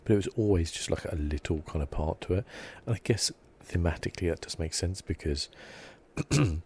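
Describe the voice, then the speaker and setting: A man giving soft-spoken ASMR commentary as he plays a game, hushed, relaxed and meandering, close to the mic. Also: deep voice, a little fast, breathy edge. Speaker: ASMR gaming host.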